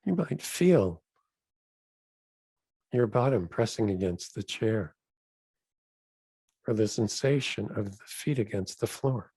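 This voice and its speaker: Man, meditation teacher, unhurried slow delivery, soft dark timbre, gentle deliberate phrasing, dry close sound. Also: lowish voice, expressive, slightly rough, no room sound. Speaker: rabbi leading a guided meditation. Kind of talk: guided meditation instruction.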